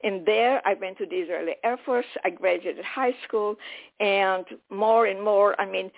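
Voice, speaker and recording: Lively woman in her eighties, phone line. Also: mid-range voice, gravelly. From Romania. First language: German. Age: 80s. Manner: lively, candid, quick to joke. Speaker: guest. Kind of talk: telling her life story in an interview. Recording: phone-line radio sound.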